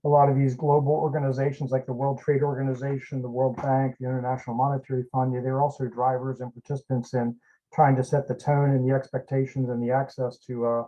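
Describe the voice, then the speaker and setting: Man moderator, mellow tone, conversational; dull lo-fi video-call sound. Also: medium pitch, flat delivery, fast talker. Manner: conversational, questions with fillers. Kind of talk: virtual panel moderating.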